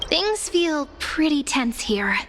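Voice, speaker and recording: High-voiced curious young traveler. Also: female voice, big pitch swings, takes it slowly, fairly bright tone, slight background noise, heavily acted. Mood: wary. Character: friendly, curious young woman traveler from afar. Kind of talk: scripted video game drama acting.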